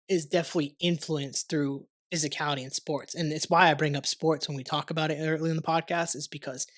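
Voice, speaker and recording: A man's voice: fairly high pitch, a bit bright. Casual rambling young man hosting. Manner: rambling, heavy use of "like", swears casually. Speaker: podcast host. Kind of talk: casual conversational interview. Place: warm studio under lights.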